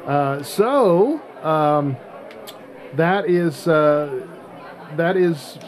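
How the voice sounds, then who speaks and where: Halting male podcast host, chatter behind him. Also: fairly high pitch, lively intonation, slight background noise. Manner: halting, searching for words. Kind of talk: casual podcast chat.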